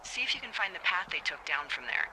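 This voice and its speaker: An actress voicing a wry, teasing American fire lookout supervisor in a video game, with a dry, playful and sarcastic manner. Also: female voice, on the low side, big pitch swings, fast, bright, forceful tone, a little hiss or hum, full character voice, poor audio. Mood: dry, practical. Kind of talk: naturalistic video game dialogue. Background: background music.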